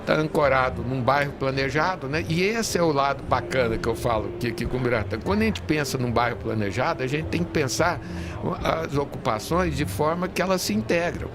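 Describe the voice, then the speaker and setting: A man talks as an interview guest at a medium pitch. He explains in a halting, repetitive way, with clear venue noise behind him. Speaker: real estate industry leader, guest. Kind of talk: interview conversation. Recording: noisy venue with urban ambience. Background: clear ambient noise of a busy fair.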